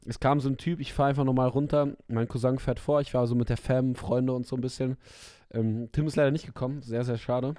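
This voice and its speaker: A young man co-hosting a podcast in casual chat, a bit hoarse from being ill, rambling and slangy. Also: medium pitch, fairly even intonation, brisk, hardly any pauses, mellow, no room sound, clean studio sound. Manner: slangy, rambling, self-interrupting. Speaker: podcast co-host.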